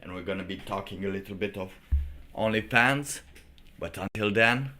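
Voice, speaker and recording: A man hosting a livestream in a casual, rambling way, thinking aloud in halting phrases. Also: fairly low pitch, pushed and bright, a little hiss or hum. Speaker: livestream host.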